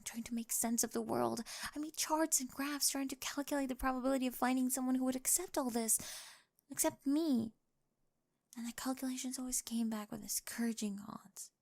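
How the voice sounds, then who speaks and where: A woman acts out a nerdy, intense young girlfriend for an ASMR roleplay. Her voice is fairly high with a creaky edge, captured close and dry. She speaks earnestly and hesitantly, often slipping toward a breathy half-whisper. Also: darkish tone, no echo. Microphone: close studio mic.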